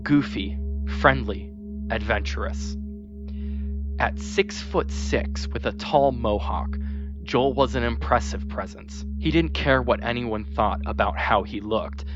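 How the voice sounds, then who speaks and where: Male narrator, mid-pitched, gentle measured memorial read, dry muffled lo-fi sound. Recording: dull, lo-fi dry recording.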